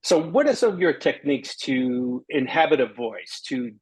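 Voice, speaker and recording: A man hosting a casual interview and retelling events in an excited, storytelling way. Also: medium pitch, moderate pace, slightly rough, poor audio. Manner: enthusiastic, tells stories with quoted dialogue. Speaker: host.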